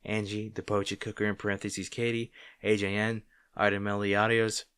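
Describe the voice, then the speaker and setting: A man's voice: lowish voice, level tone, fairly quick, a bit bright, clear, resonant tone. A man hosting an audio channel, casually reading out a list of thanks to his supporters. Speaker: audio roleplay channel host.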